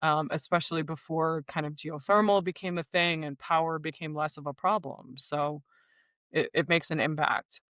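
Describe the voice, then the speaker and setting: A podcast host chats easily with a guest, stopping and restarting phrases as thoughts form. The voice sits mid-pitch and close, with no room sound, though the line is muffled and lo-fi. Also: steady tone, normal speed, fairly bright tone, no echo. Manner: chatty, many fillers and restarts. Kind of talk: casual interview chat. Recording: dull lo-fi sound.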